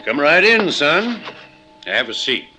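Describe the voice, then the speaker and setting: An actor playing a shrewd, laconic small-town police chief with folksy phrasing in an old-time radio detective drama. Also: male voice, on the high side, very animated, slow, pushed and bright, soft background music, exaggerated voice. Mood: folksy, probing.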